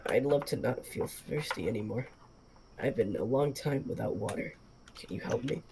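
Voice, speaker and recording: A man doing a parched, croaking stranded man. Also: fairly high pitch, noisy background. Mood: bewildered. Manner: croaking, hurried, confused questions.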